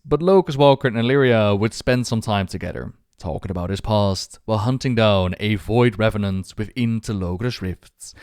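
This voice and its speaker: A man's voice: lowish voice, darkish tone, dry recording, studio quality. A man hosting and narrating video game lore as scripted storytelling, with dramatic phrasing.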